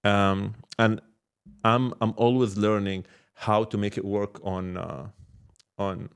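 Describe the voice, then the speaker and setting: Male podcast guest, startup mentor type, lowish voice, casual and reflective, restarts phrases as he explains. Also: fairly even intonation, vocal fry, smooth. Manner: halting, repeats words, explains with examples and check-questions. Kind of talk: casual reflective interview talk.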